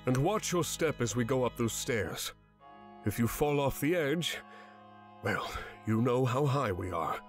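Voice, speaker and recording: A man's voice: medium pitch, expressive, measured pace, voice pushed up, natural voice. A man voicing a grim, resolute male guide in a fantasy visual novel game, grave and cautioning. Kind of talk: acted dialogue read in a let's play. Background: background music.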